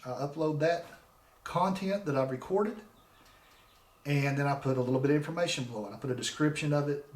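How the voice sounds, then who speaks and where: A man's voice: on the high side, darkish tone. Chatty mid-forties man, echoey room. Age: 40s. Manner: rambling and conversational, with frequent restarts. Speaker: podcast host. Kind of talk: casual solo podcast talk. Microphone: desk microphone with laptop.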